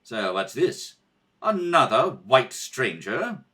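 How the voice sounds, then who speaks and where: A man's voice: mid-range voice, big pitch swings, slow pace, pushed and bright, heavily acted. A man playing a stern, suspicious rebel prince with an imperious manner, in old radio serial style. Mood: cold.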